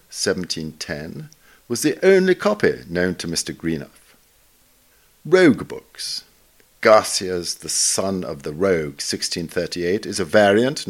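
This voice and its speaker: A man reading a scripted literary text aloud in a measured, bookish way, with deliberate pauses between items. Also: lowish voice. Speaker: reader of a literary essay.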